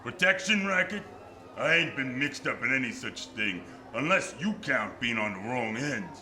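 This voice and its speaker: An actor playing an irritable, tough carnival barker with gruff, slangy hardboiled talk in an old-time radio play. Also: male voice, mid-range voice, animated, normal speed, sharp tone. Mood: irritable, bitter. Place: live theatre stage before an audience. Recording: live stage recording with clear noise.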